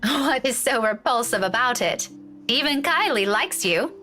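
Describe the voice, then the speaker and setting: An actress playing a blunt, needling schoolteacher with grudging kindness in a visual novel. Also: female voice, fairly high pitch, expressive, measured pace, sharp tone, soft background music. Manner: frank, teasing, lecturing. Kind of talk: dramatic visual novel voice acting.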